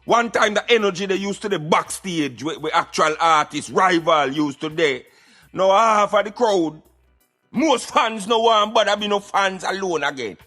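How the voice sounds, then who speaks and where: A man's voice: sits high, lively intonation, normal speed, pushed and bright, soft background music. A Jamaican man giving opinionated conversational commentary on a radio show, in patois with emphatic interjections.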